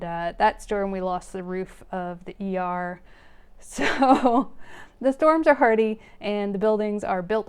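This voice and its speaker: A woman's voice: medium pitch, darkish tone, clear, resonant tone. A woman hosting her own knitting vlog, chatting casually and directly to her viewers in a rambling way, with plenty of fillers and small laughs. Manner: friendly direct address to viewers. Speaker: knitting vlogger, host. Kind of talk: casual chat to camera.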